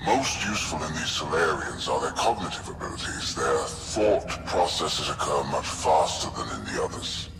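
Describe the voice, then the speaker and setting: A man playing a cold, imperious alien overlord in a sci-fi video game, speaking in a measured, grandiose, clinical and menacing way. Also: a bass voice, expressive, vocal fry, exaggerated voice. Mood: cold contemplation. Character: cold, imperious male alien overlord studying captive specimens. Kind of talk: dramatic villain monologue in a sci-fi video game. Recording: loud music under the voice.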